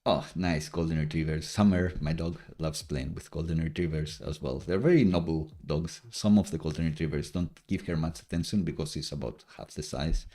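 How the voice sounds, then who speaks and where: A man's voice: rumbling low, a little fast, few pauses, dark tone. A young man from Greece chatting casually while live coding at home, thinking aloud as he works. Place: home room. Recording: faint music behind the voice.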